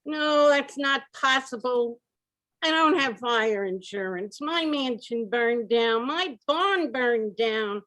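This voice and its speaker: A high-pitched, distraught mansion owner, acted by a woman in an old-time radio comedy. The delivery is dramatic and incredulous, piling lament upon lament like someone who has lost everything. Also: a bit bright. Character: distraught rich mansion owner, husband, reeling from piling losses.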